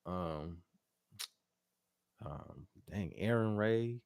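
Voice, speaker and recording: A man's voice: sits low, expressive, drawn-out words, vocal fry, darkish tone, smooth, no echo. A man speaking casually and informally as a musician guest in an interview, with slangy, loosely phrased talk. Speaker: interview guest, R&B singer and producer.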